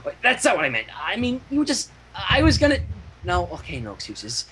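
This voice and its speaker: A man's voice: high-pitched, wildly swooping pitch, fairly quick, bright, forceful tone, slight background noise, exaggerated voice, low-quality recording. A man voicing a brash but sheepish tomboyish female pegasus pony in casual, blurting amateur fan fiction acting.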